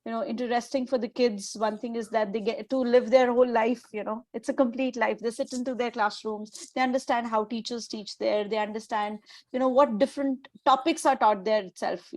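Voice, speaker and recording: A woman's voice: fairly high pitch, steady tone, a little fast, a little mellow, no room sound. Woman teacher on a dry video call. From India. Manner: hesitant, many fillers. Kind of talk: webinar discussion.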